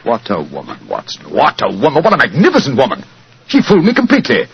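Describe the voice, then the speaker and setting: An actor playing an assured, courteous gentleman detective with a precise manner, in 1940s radio drama style. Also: medium pitch, wildly swooping pitch, quick pace, creaky, a little hiss or hum. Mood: admiring, rueful. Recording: dull vintage radio recording.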